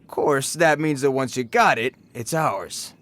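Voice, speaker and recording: A man's voice: mid-range voice, a little slow, fairly bright tone, close to an everyday voice. A man voicing a streetwise bandit thug in a video game, taunting and slangy with a swagger. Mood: taunting, amused. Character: mocking, streetwise male bandit thug, rough-mannered.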